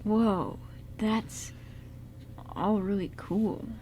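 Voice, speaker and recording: A woman's voice: medium pitch, very slow, dark tone. A woman playing a curious, puzzled young man in an amateur radio drama, speaking in a casual, hesitant way. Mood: curious, impressed. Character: curious, puzzled young male friend of time traveler. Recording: noisy amateur radio show recording.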